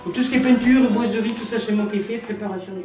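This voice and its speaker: A woman from the south of France, a resident, chatting hesitantly about renovating her flat. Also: on the high side, rapid-fire. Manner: hesitant, many fillers. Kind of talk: casual chat on a work site. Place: flat under renovation, indoors. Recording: echoey room from far off, music over.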